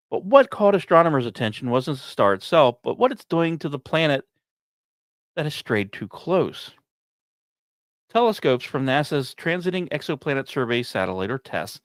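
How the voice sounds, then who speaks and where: A man hosting a science podcast, reading scripted narration in a measured storytelling way with deliberate pauses. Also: mid-range voice, no echo, clean studio sound. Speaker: podcast host.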